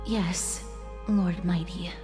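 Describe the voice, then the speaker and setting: A cautious, courteous young noblewoman in a fantasy game. Her delivery is slow, formal and gentle, ringing in a long reverb over music. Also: on the low side, steady tone. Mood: solemn. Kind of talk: English game dub voice acting.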